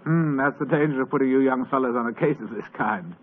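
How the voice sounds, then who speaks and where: A man playing a huge, lazy, pompous older gentleman with a British accent in a 1940s radio drama. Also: medium pitch, fast talker, close to an everyday voice. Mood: brisk, condescending. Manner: pompous, condescending. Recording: tinny, rough old radio broadcast.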